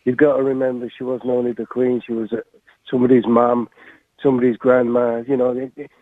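A man's voice: medium pitch, level tone, a little fast, no room sound. An English man in his sixties calling in to a radio show, hesitant and often repeating words. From England. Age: 60s. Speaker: caller. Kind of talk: call-in radio talk. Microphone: phone. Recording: phone line.

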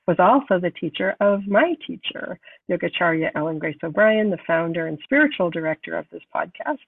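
A woman hosting a podcast, calm and unhurried as she guides a meditation, sounding nasal from a cold. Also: lowish voice, breathy edge, no echo. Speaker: podcast host. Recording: thin phone-like sound, distant from mic.

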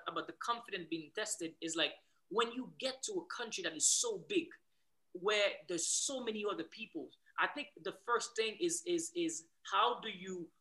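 A young man from Haiti speaks with a Haitian accent and a bright, ringing tone. He tells his story animatedly, stumbling into restarts as he builds momentum. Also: high voice, rough recording. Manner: animated storyteller, many restarts, growing louder as he goes. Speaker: guest. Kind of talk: casual interview, switching between English, Creole and French.

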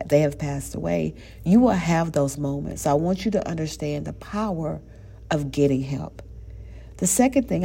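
A woman's voice: deep voice, creaky, mellow, husky. A woman in her thirties hosting a faith podcast, speaking earnestly and conversationally with a stuffy nose. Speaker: podcast host.

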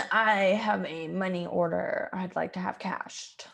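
A woman's voice: mid-range voice, expressive, slow pace, creaky, a little hiss or hum. A woman playing an eager, polite, earnest young woman in an old-time radio play. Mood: nervous, insistent.

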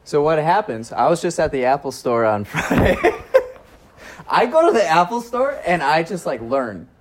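A man hosts a loose, informal conversation in a garage, recorded on a phone. His pitch moves up and down freely. He rambles, laying out his thoughts in short bursts. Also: sing-song, normal speed, a little hiss or hum. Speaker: podcast host. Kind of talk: casual chat. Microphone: phone used as recorder.

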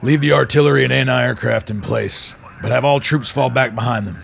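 A man's voice: mid-range voice, fairly quick, creaky, a bit bright, a little hiss or hum, pitched above the performer's own voice. A man voicing a stern, cautious army colonel who gives orders with authority in an audio drama. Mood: grave, commanding.

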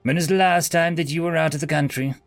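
A man's voice: a little high, steady tone, fast talker, gravelly, no echo, faint music behind, pitched above the performer's own voice. Man playing a stern, formal interrogator. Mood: probing. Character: stern male interrogator, businesslike and unyielding. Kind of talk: acted story dialogue.

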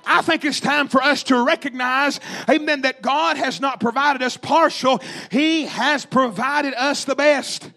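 A man's voice: extremely high pitch, steady tone, a little slow, creaky, hardly any pauses, bright, forceful tone. A man preaching a fervent revivalist sermon, emphatic and repetitive, calling out to his congregation in rhythmic exhortation. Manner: calls out to the congregation.

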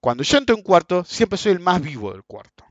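Blunt Rioplatense man ranting, lively pitch. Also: brisk, dry recording. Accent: Rioplatense Spanish. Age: 40s. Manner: blunt, crude, repeats "ok?". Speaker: market commentator. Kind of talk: casual ranting monologue. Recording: dull dry lo-fi sound.

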